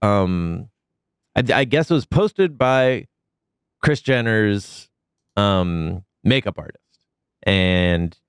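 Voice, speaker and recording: A man's voice: lowish voice, smooth, no room sound, clean studio sound. Sick man hosting a jokey podcast. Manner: loose, jokey, swooping emphasis. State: sick, deep voice. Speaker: podcast host. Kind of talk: casual comedic chat.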